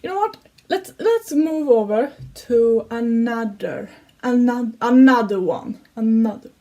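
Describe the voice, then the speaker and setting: A giggly young woman with a Swedish accent, talking casually for a reaction video. Her voice sits fairly high and she takes her time, pausing and restarting as her English slips now and then. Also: expressive, dark tone. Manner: chatty and giggly, slightly broken English grammar. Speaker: YouTuber reacting to songs.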